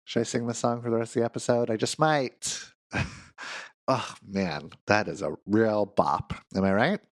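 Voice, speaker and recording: A man's voice: fairly low pitch, moderate pace, no room sound. Nasal, congested man hosting a podcast. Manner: playful, self-mocking, chatty. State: sick, congested. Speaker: counselor and podcast host. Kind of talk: solo podcast monologue.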